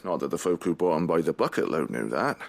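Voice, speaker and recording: A gruff mercenary guard in a fantasy game. He has a low-pitched British voice and talks freely in blunt, grumbling, colloquial speech. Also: sing-song, quick pace, exaggerated voice. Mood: grudgingly helpful. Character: gruff, talkative male mercenary gate guard. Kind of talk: video game voice acting.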